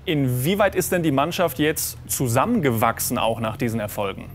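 Male news anchor, formal and measured, sports segment interviewer. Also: mid-range voice, animated, a little hiss or hum.

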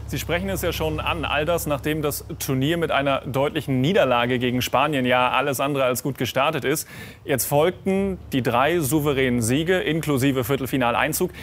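Male news anchor, formal and measured, sports segment interviewer. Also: medium pitch, slight background noise.

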